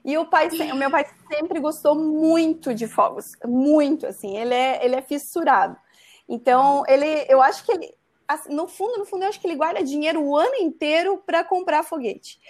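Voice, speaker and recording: Uma mulher jovem de Santa Catarina contando uma história de forma casual e animada, cheia de "né". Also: female voice, sits high, brisk. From Santa Catarina, Brazil. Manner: animated, frequent "né" tags. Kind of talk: casual storytelling.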